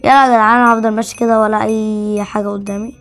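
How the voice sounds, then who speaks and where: A woman's voice: mid-range voice, level tone, racing, faint music behind. A female gamer with an Egyptian Arabic accent bantering casually as a co-host, playful and teasing. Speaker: gaming video co-host.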